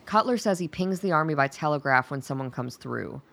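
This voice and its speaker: A woman's voice: low-pitched, animated, fairly quick, gravelly, a bit bright, heavily acted. An actress playing a stern, determined militia woman in a radio play, speaking in a clipped, formal, assertive way. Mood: stern, insistent.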